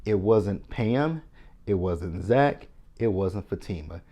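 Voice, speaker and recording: A man's voice: deep voice, lively intonation, slow pace, mellow. A man from Texas with a Texan accent, casually recapping a TV episode in a chatty, sassy, opinionated way, talking straight to his viewers. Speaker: TV recap host.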